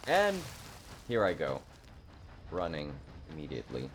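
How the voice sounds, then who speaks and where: Guy streaming a game, rambly and sweary, pitch jumps around a lot, some noise behind him. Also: wildly swooping pitch, unhurried, gravelly. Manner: rambling, self-deprecating, swears often. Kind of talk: casual gameplay commentary.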